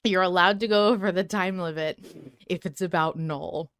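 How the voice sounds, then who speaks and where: A woman with a somewhat low voice hosts a casual podcast. She talks conversationally to listeners and guests. The recording is dry, with very little room sound. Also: steady tone, normal speed, a bit bright, no room sound. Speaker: podcast host.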